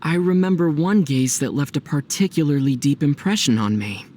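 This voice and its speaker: An actor playing a calm, thoughtful young male traveler in a video game, measured and gently teasing. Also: on the high side, natural voice. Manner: measured, reflective, dryly playful. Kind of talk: video game character dialogue.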